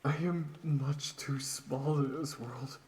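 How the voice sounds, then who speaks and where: An actor playing a tormented, lonely young man in an audio drama, halting and brooding, sometimes sinking into whispers. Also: a little high, fairly even intonation, a little mellow, slight background noise. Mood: brooding anguish. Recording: long echoing reverb.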